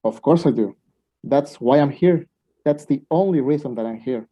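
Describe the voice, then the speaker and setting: A man's voice: medium pitch, normal speed, lightly acted. A man acting an anxious, impatient, lovestruck young student in an amateur radio play, earnest and a little stilted. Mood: earnest, defensive. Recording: dull lo-fi home recording.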